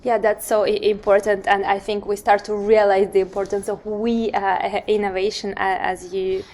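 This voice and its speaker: Halting woman interviewer with medium pitch. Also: flat delivery, darkish tone. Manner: halting, hesitant phrasing. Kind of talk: interview questions.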